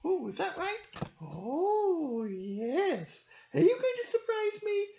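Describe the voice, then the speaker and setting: A man putting on a high, squealing voice for an excitable little toy friend during a storybook reading. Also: slow pace, roomy sound, slight background noise, heavily acted. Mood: excited. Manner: squealing, gleeful, wide-eyed. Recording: dull lo-fi home recording.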